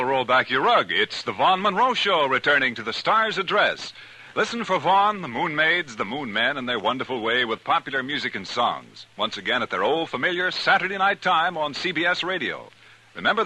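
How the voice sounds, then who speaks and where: A man's voice: mid-range voice, husky, poor audio. A man announcing on 1950s radio with an emphatic, polished showman's delivery. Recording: bright old radio broadcast recording.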